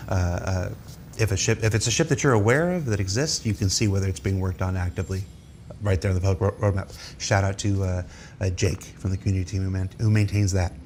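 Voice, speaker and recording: A man's voice: deep voice, steady tone, quick pace, a little mellow, a little hiss or hum. A man hosting a developer question session, moderating casually and reading out questions.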